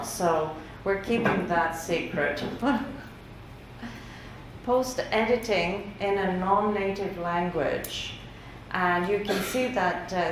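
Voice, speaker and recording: Woman lecturer, native Greek speaker, chatty and relaxed delivery, slightly low voice, very reverberant room with faint hiss. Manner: conversational, many fillers, laughs lightly. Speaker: workshop lecturer. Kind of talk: lecture presenting survey results. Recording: very echoey room with faint noise.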